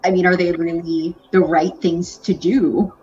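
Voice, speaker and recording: A woman speaking as a podcast guest in casual chat, making her point in clear full sentences. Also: lowish voice, little pitch movement, measured pace, warm tone, muffled recording, a little hiss or hum.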